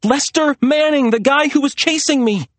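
A man's voice: sits high, moderate pace, no echo, pitched above the performer's own voice. A man voicing a frantic, commanding young woman on the run, speaking urgently in an audiobook story. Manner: urgent, breathless shouting and insisting.

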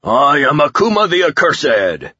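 An arrogant alien conqueror from a superhero audiobook. The voice is pushed up and rough, bellowing every grand phrase with slow menace. The sound is slightly dull and close. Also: male voice, mid-range voice, animated, a bit bright, voice pushed up. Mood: boastful. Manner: bellowing, grandiose, drawn-out pronouncements.

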